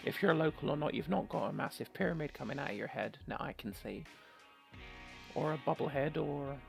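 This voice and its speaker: Male gamer, higher-pitched with heavy vocal fry, joking and rambling between read-aloud lines, music underneath. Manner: wry, rambling, joking asides. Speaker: gamer and streamer doing a let's play. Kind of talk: casual game commentary mixed with reading story text aloud.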